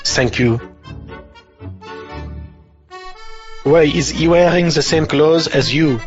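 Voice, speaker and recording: A man's voice: fairly high pitch, measured pace, dull audio, faint music behind, close to an everyday voice. A man voicing a bumbling, pompous police officer puppet with a stilted, literal-minded manner. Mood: officious, stilted. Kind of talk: comic puppet show acting.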